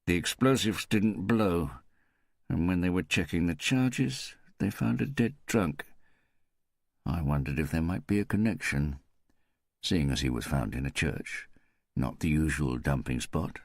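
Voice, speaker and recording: A man voicing a sardonic woman pathologist, low-pitched. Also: unhurried, vocal fry, no room sound, studio quality, exaggerated voice. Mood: brisk, wry. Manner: mischievous, wry. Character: sardonic, teasing woman pathologist in Soviet Russia.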